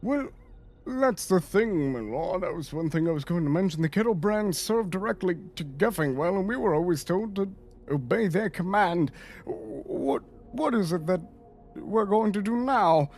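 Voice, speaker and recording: Man playing a flustered, high-voiced town guard underling. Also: animated, normal speed, darkish tone. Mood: worried. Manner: stammering, hesitant, obsequious. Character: nervous, deferential male town guard underling.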